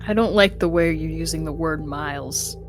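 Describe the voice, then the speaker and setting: A woman's voice: deep voice, moderate pace, over music. A woman chatting casually as a player in a tabletop game.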